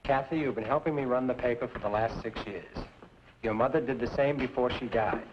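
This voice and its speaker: An actor playing a resigned, paternal middle-aged newspaper editor in an old western drama. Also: male voice, medium pitch, a little fast, close to an everyday voice. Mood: firm, resigned. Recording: dull old soundtrack, faint hiss.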